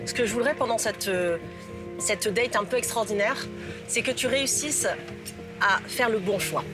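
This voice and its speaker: A middle-aged woman, a love coach, explaining an exercise in a calm, instructive way. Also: a little high. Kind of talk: coaching talk. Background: background music.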